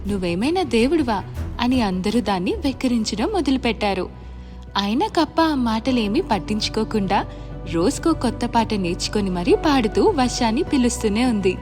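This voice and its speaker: A woman's voice: on the high side, lively intonation, normal speed, a little mellow, faint music behind. A woman storyteller reading a scripted moral tale for children.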